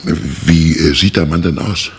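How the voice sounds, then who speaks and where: An actor playing a testy, formal professor in a 1960s radio crime drama, with a gravelly, husky, hesitant delivery. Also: male voice, a bass voice, steady tone, measured pace, full character voice. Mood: startled, disbelieving. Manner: formal, indignant, hesitant 'äh'. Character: testy, startled male professor with an organ.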